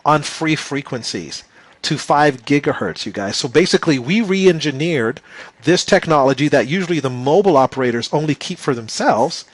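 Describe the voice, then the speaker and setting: A man with a slightly hoarse voice giving an enthusiastic sales pitch for a business opportunity, calling his viewers "you guys". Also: lively intonation, normal speed, dry recording. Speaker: presenter.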